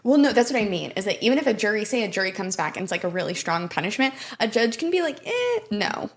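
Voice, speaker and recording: A woman's voice: medium pitch, big pitch swings, fast. An American woman co-hosting in casual chat, informal, reacting expressively and acting out imagined quotes. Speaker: podcast co-host.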